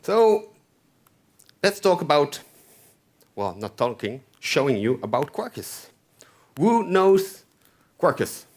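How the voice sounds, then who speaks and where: A man's voice: fairly high pitch, very animated, slow pace, pauses often. French accent guy on stage doing a tech talk, voice bounces up and down a lot, keeps saying okay?. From France. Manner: chatty, keeps asking "okay?". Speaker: conference speaker. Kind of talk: tech conference talk with live coding. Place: conference hall stage.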